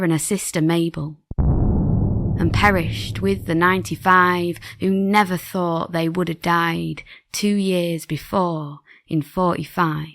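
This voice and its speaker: A woman reciting a poem as a guest reader, in a measured, rhythmic storytelling delivery. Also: lowish voice, a bit bright, no room sound, clean studio sound. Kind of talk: poetry recital. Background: music.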